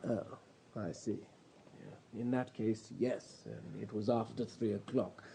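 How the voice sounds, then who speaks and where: An actor playing a reserved, reluctant hotel night clerk in a radio drama, formal and hesitant. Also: male voice, fairly low pitch, fairly even intonation, slow, gravelly, dark tone, slight background noise. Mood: guarded, reluctant.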